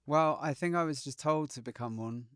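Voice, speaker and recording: A man's voice: fairly high pitch, normal speed, fairly bright tone, clean tone, no room sound. Offhand, self-deprecating man, dry sound. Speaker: actor being interviewed. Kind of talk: casual interview chat.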